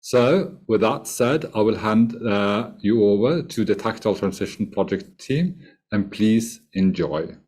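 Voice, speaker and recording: A man opening a webinar as project manager, speaking in a formal, measured way. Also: lowish voice, animated, a little mellow, husky. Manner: formal, measured, ceremonious greeting.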